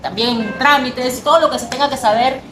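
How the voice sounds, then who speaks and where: A young Peruvian woman with a Peruvian Spanish accent, chatting quickly and animatedly as an interview guest. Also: high-pitched, roomy sound, background noise. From Peru. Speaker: guest, personal trainer. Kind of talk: casual interview.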